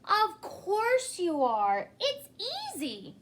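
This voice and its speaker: A woman's voice: extremely high pitch, wildly swooping pitch, very slow, voice pushed up, heavily acted. A woman voicing a brave, kind young girl knight in a children's storybook, earnest and encouraging.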